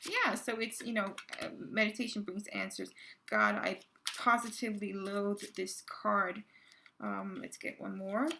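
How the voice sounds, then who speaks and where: A woman reading tarot cards to her viewers in a casual, halting way, full of fillers and restarts, her voice a little raspy from a cold. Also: mid-range voice, animated, pushed and bright, a little hiss or hum. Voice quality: gravelly. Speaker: tarot card reader.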